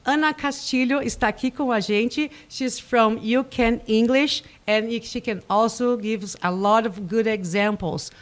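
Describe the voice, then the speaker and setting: A woman's voice: fairly high pitch, normal speed, few pauses. Brazilian woman hosting a radio show, eager. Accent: Brazilian Portuguese. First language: Portuguese. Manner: enthusiastic, inviting, a little nervous. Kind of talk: casual live studio chat. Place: radio studio.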